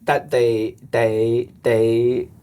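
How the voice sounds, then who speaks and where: A man from with a deep voice, a guest in an interview who explains things earnestly and often restarts his sentences. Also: monotone, very slow, dark tone, clear, resonant tone. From Hong Kong. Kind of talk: conversational interview.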